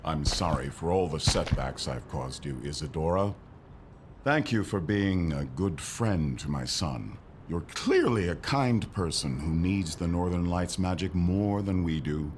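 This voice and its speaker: A low-voiced troll chieftain and father acted by a man, blustery but sincere. His delivery is theatrical and swings widely in pitch, in a family audio drama. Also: expressive, a little slow, background noise. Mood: apologetic, grateful.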